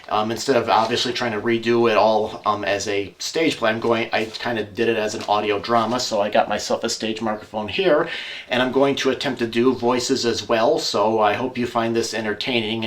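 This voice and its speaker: A man talking casually and haltingly as he introduces and explains an audio drama he is performing alone. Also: fairly low pitch, a bit bright. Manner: informal, halting, rambling explanations. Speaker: host and solo performer. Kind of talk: casual introduction and scripted narration.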